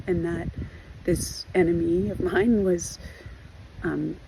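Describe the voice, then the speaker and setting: A hoarse woman giving an earnest personal video update, talking haltingly with many fillers and restarts. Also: on the low side, steady tone, noisy background. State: hoarse from losing her voice. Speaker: trauma recovery vlogger.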